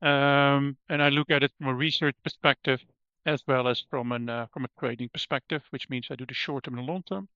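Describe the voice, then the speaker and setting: Dutch-accented man, bright crisp voice. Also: medium pitch, fairly even intonation, a little fast, no room sound, lo-fi sound. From Netherlands. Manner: thoughtful, many ums and restarts, trails off quietly at phrase ends. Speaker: commodity strategist guest. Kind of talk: conversational expert explanation.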